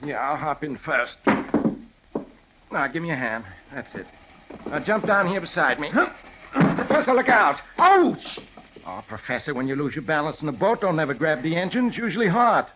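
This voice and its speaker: High-voiced, folksy New boatman. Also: sing-song, normal speed. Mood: exasperated. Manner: plain-spoken, scolding, rustic. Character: folksy, exasperated small-harbor Maine boatman. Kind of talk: 1940s radio acting. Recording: muffled, noisy 1940s radio recording.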